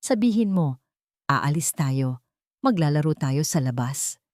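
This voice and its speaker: A woman voicing a calm, murderous villainess who mocks and threatens her captives in an audio drama story. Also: deep voice, a little slow, no room sound, clean studio sound. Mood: cold, commanding. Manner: calm, menacing, mocking.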